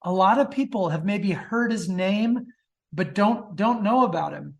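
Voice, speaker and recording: A man's voice: high voice, animated, unhurried, gravelly. A man answering as a guest author in a conversational interview, often repeating words as he thinks aloud.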